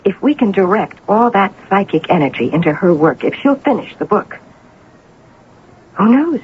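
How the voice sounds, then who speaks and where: A woman's voice: mid-range voice, big pitch swings, normal speed, lightly acted. An actress playing a domineering, self-assured, scheming woman in an old-time radio drama, speaking with emphatic, ominous insistence. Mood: scheming, intense. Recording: muffled, noisy old radio broadcast.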